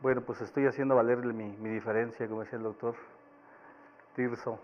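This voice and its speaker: A man from Chiapas whose first language is Tzeltal, speaking Spanish with a Mexican accent as a panelist, giving an academic presentation at a measured, explanatory pace. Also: medium pitch, flat delivery, dull audio, faint music behind. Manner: measured, explanatory, with occasional fillers.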